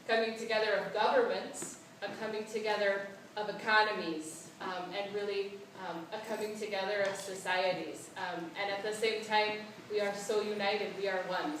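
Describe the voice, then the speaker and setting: A woman opens a conference workshop, warm and full of fillers, her voice a little high. She is picked up from across an echoey room with steady background noise. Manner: warm, filler-heavy, repetitive phrasing. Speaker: workshop presenter. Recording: echoey room, distant, noisy.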